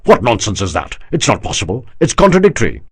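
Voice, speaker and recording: A man voicing a stern, husky army general. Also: lowish voice, wildly swooping pitch, fast, full character voice. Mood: scornful. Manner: scornful, unbending. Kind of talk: audiobook character voice.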